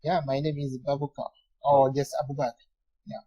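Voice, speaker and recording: Man, husky, rambling, says you know a lot, casual chat. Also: medium pitch, flat delivery, fairly quick, mellow, highs cut off. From France. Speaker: conversation partner. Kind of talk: casual conversation.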